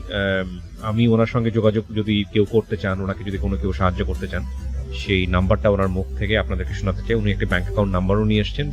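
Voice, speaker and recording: A man with a Bangladeshi accent hosting a radio show, speaking in a measured way with hesitant pauses as he interviews and addresses listeners. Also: on the low side. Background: music under the voice.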